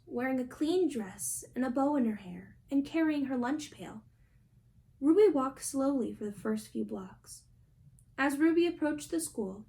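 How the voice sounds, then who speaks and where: A woman's voice: high voice, mellow. A young adult woman reading a picture book aloud as a storybook reader. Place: small indoor room at home.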